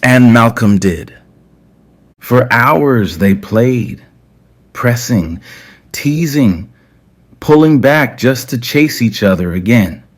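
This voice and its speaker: A man narrating scripted romance fiction in a measured, deliberate way with dramatic pauses. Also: medium pitch, expressive. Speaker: audio story narrator.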